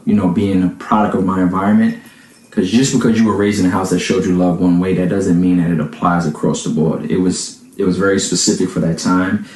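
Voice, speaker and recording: A man in his thirties speaks as a guest in a casual interview. His voice is fairly low, and his talk is conversational. The recording has a noticeable room echo. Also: a little mellow. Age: 30s. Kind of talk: casual chat. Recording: echoey room.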